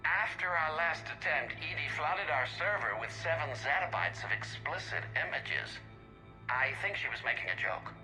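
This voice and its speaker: Anxious scientist, very bright voice. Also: male voice, fairly high pitch, lively intonation, moderate pace, soft background music, rough recording. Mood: nervous, hesitant. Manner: hesitant, cautious. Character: anxious, deferential male scientist reporting to his boss. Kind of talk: video game cutscene acting.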